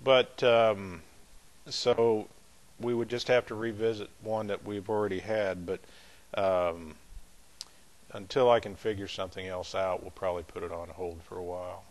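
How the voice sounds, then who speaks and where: A man's voice: sits low, little pitch movement. A man leading a Bible study as pastor, chatting in a folksy, easygoing way between readings. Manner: folksy, chatty asides with light laughs; measured, emphatic scripture reading.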